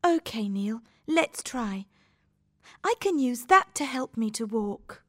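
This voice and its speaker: A woman's voice: fairly high pitch, lively intonation, slow, no room sound, clean studio sound. A woman playing a hesitant, injured girl in a dramatised adventure audiobook, with careful phrasing. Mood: resolved.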